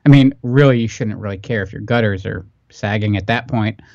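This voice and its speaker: A man's voice: fairly low pitch, a little fast, vocal fry, darkish tone, no room sound, lo-fi sound. A man with a nasal voice, bantering casually as a podcast co-host.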